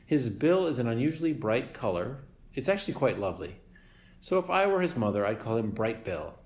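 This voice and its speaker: A man's voice: medium pitch, sing-song, brisk, close to an everyday voice. A man reading aloud and voicing a blunt, bossy old female goose who squawks and scolds. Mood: scolding. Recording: roomy echo.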